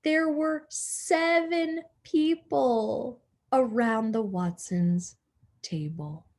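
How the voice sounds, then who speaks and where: A woman teacher reading a storybook aloud to children, animated and full of asides and questions to her young listeners. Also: a little high, extremely slow.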